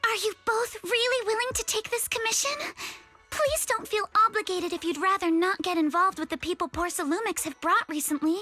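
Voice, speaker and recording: A woman's voice: extremely high pitch, fairly quick, sharp tone, faint music behind. A woman playing a polite, formal young heiress with careful, proper phrasing in an anime-style game. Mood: courteous.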